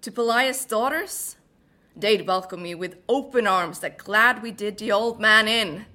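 A woman's voice: medium pitch, measured pace, pushed and bright. Vengeful betrayed queen, bitter and sarcastic, Norwegian accent, a woman reciting. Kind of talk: dramatic monologue recital.

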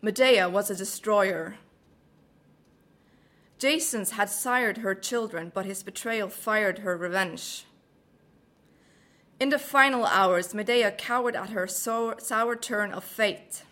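A woman's voice: medium pitch, slow pace, pauses often, fairly bright tone. A young woman from Norway, a Norwegian native speaker, reading a passage and chatting casually in melodic, hesitant English with a Norwegian accent. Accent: Norwegian, American-leaning English. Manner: melodic, hesitant, many fillers. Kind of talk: read passage and casual talk.

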